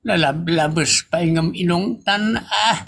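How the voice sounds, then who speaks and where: A man's voice: sits high, a little slow, gravelly, a bit bright, breathy edge, full character voice. A man playing an elderly, complaining working father with an Ilocano accent in a comedy drama. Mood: indignant.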